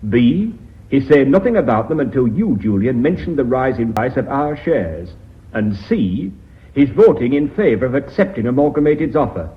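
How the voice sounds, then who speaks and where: A man playing a combative boardroom director in a radio play. He speaks bluntly and accusingly, with pitch that jumps about. The sound is dull and lo-fi. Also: very animated, moderate pace, close to an everyday voice. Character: blunt, suspicious, combative male company director. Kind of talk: British radio drama acting.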